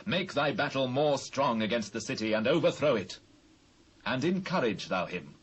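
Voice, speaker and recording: A British actor playing a proud, quick-tempered ancient king in a dramatised Bible reading, with a husky voice. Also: medium pitch, animated, rough recording. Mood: composed, commanding.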